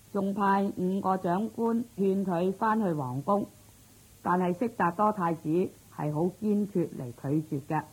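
A woman's voice: fairly low pitch, darkish tone, slightly rough, poor audio. A woman presenting a scripted introduction to a radio drama as its host.

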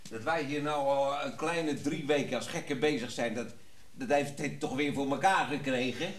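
An actor playing a grumbling, dedicated tinkerer in a naturalistic radio drama. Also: male voice, medium pitch, moderate pace, roomy sound, lightly acted. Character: grumbling, dedicated male tinkerer restoring a mechanical instrument.

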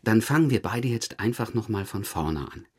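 A low male voice plays a police detective in a radio drama. He questions in a measured, insistent way, calm and dryly probing. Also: husky. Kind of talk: radio drama interrogation dialogue.

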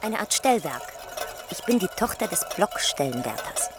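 Deadpan young local woman. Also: on the low side, moderate pace. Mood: deadpan, foreboding. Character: young signalman's daughter, matter-of-fact local oracle of eerie things. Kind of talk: German radio play dialogue.

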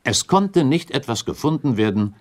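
A man acting in a German radio play as a shrewd, composed gentleman accuser, speaking formally and deliberately with insistent, probing weight. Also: medium pitch, very animated, close to an everyday voice.

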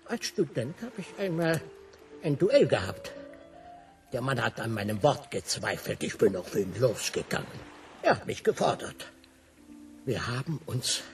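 A vain, courtly old French gentleman in a radio drama. His voice is gravelly and theatrical, swinging widely in pitch. He sounds self-important and touchy about his honour, over faint music. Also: distant mic. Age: older. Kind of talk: radio play acting.

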